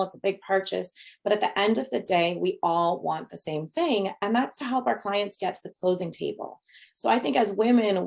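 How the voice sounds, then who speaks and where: Realtor panelist, lowish gravelly voice, earnest. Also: female voice, darkish tone. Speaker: real estate agent panelist. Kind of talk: panel discussion.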